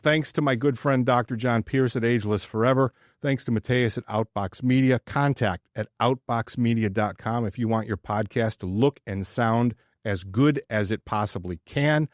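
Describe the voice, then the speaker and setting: Man, medium pitch, sports podcast co-host, informal, joking, stammered repeats, dry room sound. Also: moderate pace, few pauses, no room sound. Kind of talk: casual sports podcast chat.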